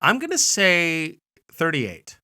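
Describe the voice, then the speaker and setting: A man's voice: a little high, huge pitch swings, slow, sharp tone. A man in his thirties co-hosting a podcast, chatting loosely and laughing often. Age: 30s. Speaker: podcast co-host. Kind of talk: casual comedic chat.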